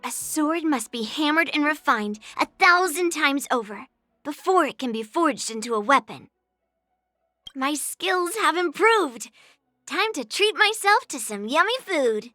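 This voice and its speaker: Confident young swordswoman, video game heroine, bright forceful tone, unhurried delivery, plucky and proud. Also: high voice, no room sound, studio quality, soft background music, full character voice. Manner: brash, plucky, expressive. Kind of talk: video game character voice lines.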